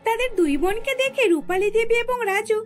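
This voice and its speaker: High-pitched woman narrating a cartoon story. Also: expressive, fairly quick, faint music behind.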